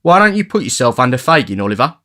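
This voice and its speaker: A man voicing a jolly, jokey boy pickpocket who speaks loudly in street slang. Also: medium pitch, big pitch swings, fast, voice pushed up.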